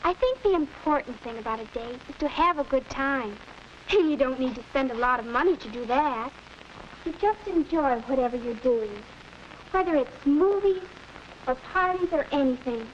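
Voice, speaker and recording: An actress playing a cheerful, sensible teenage big sister in a 1940s educational film. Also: female voice, high-pitched, animated, moderate pace. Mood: chatty, sensible. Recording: muffled, noisy 1940s film soundtrack.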